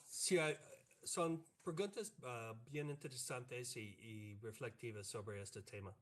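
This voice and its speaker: American professor, non-native speaker, slow and unhurried, hesitant delivery, video call mic. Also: male voice, medium pitch. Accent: American English. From United States. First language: English. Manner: halting, many fillers and restarts. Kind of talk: academic panel answer. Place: online video meeting. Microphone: computer mic on a video call.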